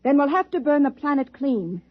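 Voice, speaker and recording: High-pitched woman space commander, vintage radio. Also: big pitch swings, moderate pace, no room sound. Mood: commanding, urgent. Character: authoritative female space expedition commander, decisive but privately anxious. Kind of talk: 1950s radio drama acting. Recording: muffled vintage 1950s radio recording.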